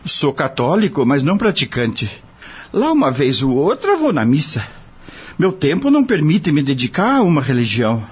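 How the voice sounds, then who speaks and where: A humble, worried Brazilian farmhand father acted by a man in an audio drama. He speaks simply and plaintively in a fairly high, husky voice that rises and falls expressively. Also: wildly swooping pitch, moderate pace, full character voice. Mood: plaintive, confiding. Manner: plaintive, simple colloquial speech. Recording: dull lo-fi audio.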